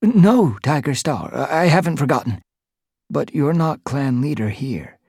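A man's voice: a little high, animated, moderate pace, vocal fry, a little mellow, dry recording, clean studio sound, voice pushed up. A man voicing a nervous, skinny gray warrior cat in a storybook, speaking tensely and stammering when pressed. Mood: anxious.

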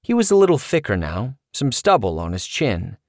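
Middle-aged male memoir narrator, expressive, swoopy delivery, dead-dry sound. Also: medium pitch, moderate pace, no echo. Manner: animated, expressive storytelling.

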